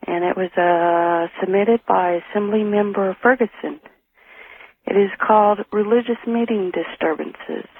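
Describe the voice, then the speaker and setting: An American man phones in to a radio show over a thin, narrow line. He talks in a deliberate, halting way, feeling his way through what he has to report. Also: sits high, husky. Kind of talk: halting informal report to a host. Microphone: telephone.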